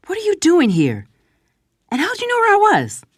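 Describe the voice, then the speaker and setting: A woman's voice: extremely high pitch, huge pitch swings, normal speed, heavily acted. A woman playing a defiant, sarcastic middle-aged wife and mother in an audio drama. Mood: surprised. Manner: sarcastic, emphatic.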